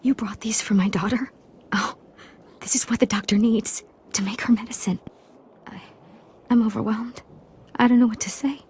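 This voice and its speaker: Woman playing a soft-spoken, worried widowed mother. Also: fairly high pitch, fairly even intonation, moderate pace, long pauses, slight background noise, close to an everyday voice. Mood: overwhelmed, grateful. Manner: soft, polite, hesitant. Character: worried widowed mother, reserved but grateful. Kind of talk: dramatic video game acting.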